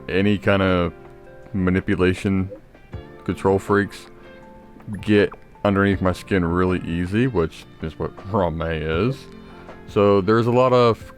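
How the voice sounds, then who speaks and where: A man hosting a gaming channel, giving casual gameplay commentary. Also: low voice. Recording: voice-over with game music behind.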